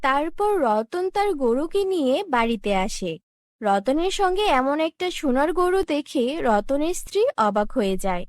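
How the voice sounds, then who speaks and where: A woman's voice: high voice, sing-song, a little slow, dry recording, studio quality. A woman narrating a scripted children's fairy tale as storyteller.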